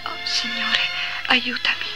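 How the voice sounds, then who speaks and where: A woman's voice: takes it slowly, whispered, over music, close to an everyday voice. A woman playing a pious young saintly girl in a 1950s religious cartoon, with a reverent, theatrical delivery. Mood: hushed prayer. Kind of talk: 1950s Italian religious dramatization acting.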